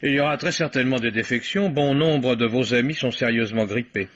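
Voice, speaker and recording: Husky, genial family doctor played by a male actor. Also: medium pitch, fairly bright tone, lightly acted. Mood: reassuring, genial. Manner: polite, unhurried, reassuring. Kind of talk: old French radio drama acting. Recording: dull vintage radio recording.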